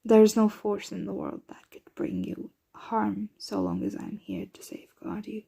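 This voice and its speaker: A woman playing a protective, doting yet anxious vampire mistress in an ASMR roleplay, breathy and often whispering, with formal, measured diction. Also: mid-range voice, big pitch swings, mellow, full character voice. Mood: soothing, affectionate.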